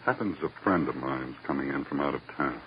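An actor playing a sly, wheedling police lab technician in an old-time radio crime drama. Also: male voice, rumbling low. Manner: wheedling, drawn-out teasing. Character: sly, needling male police lab technician, fond of favors. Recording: muffled, noisy vintage radio recording.

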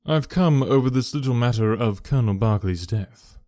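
A cool, precise detective speaks with measured courtesy, as a male audiobook reader voices him. His pitch is middling, and the sound is close and dry. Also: warm tone, no echo, close to an everyday voice. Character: calm, incisive male detective. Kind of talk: audiobook reading.

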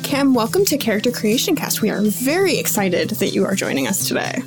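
Woman, gravelly fry, chatty, many ums, podcast co-host. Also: on the high side, very animated, fairly quick, music in the background. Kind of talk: casual podcast intro and chat.

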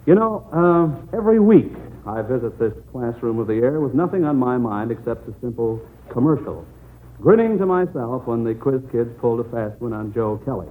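A man's voice: medium pitch, big pitch swings, moderate pace, mellow, a little hiss or hum, rough recording. Jovial man hosting a 1940s radio quiz. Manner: jovial, joking, addresses children. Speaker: substitute quiz show host.

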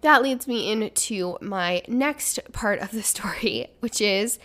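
A woman's voice: mid-range voice, slow, fairly bright tone. A woman hosting a casual podcast, chatty and upbeat, her voice a little stuffy as she gets over the flu. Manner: chatty and upbeat, rambling storyteller. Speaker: podcast host.

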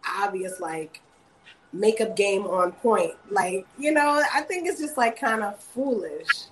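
Casual female guest, slow and giggly, lots of fillers, lively pitch, faint background hum. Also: low-quality recording. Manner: giggly, hesitant, many fillers. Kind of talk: casual interview chat.

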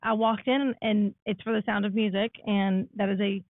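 Woman, raspy voice, casual podcast chat, tinny phone-like audio, dry. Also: medium pitch, fairly even intonation, moderate pace, no room sound. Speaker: podcast co-host.

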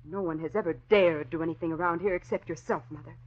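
An actress playing a self-willed, rebellious eighteen-year-old daughter in a 1940s radio drama, with a sharp, defiant delivery. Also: female voice, lowish voice, steady tone, fast, natural voice. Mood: angry, defiant. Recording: dull, lo-fi old radio recording.

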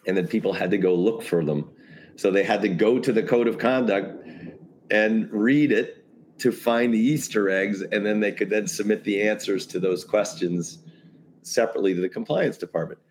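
A man's voice: on the low side, moderate pace, darkish tone, a little hiss or hum, rough recording. A man talking casually as a podcast guest, brainstorming ideas in a rambling way with jokey asides. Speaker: podcast guest, creative compliance consultant.

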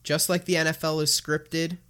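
A man's voice: a little high, flat delivery, normal speed, sharp tone, clear, resonant tone. A young man co-hosting a casual sports podcast, talking eagerly with many fillers. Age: young adult. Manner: enthusiastic, filler-heavy. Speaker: podcast co-host.

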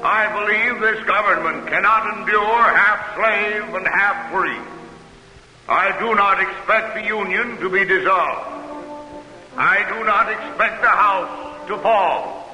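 Earnest old-time american politician speech, high forceful voice, big echoey reverb, radio play. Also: male voice, takes it slowly, soft background music, full character voice. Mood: solemn, resolute. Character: earnest, solemn male politician giving campaign oratory.

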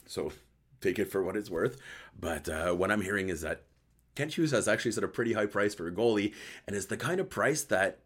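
A man with a hoarse voice hosting a casual live sports chat show, joking and thinking aloud as he answers viewers. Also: on the low side, expressive, fairly quick. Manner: joking, conversational, thinking aloud with repeated words. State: hoarse voice after a night outdoors. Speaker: host of a hockey post-game recap show.

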